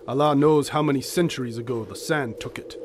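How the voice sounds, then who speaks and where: Actor as solemn, devout desert companion, mid-pitched. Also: male voice, brisk, background noise, lightly acted. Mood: stoic, devout. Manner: formal, archaic, solemn phrasing. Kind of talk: audio drama acting.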